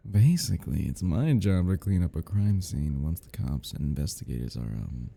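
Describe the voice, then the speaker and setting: A man playing an obsessed, giddy and menacing crime scene cleaner in an intimate audio roleplay, rambling in a husky voice that drops into whispers. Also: very deep voice, very animated, normal speed, mellow, exaggerated voice. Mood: giddy, chatty. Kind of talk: intimate ASMR-style dramatic roleplay.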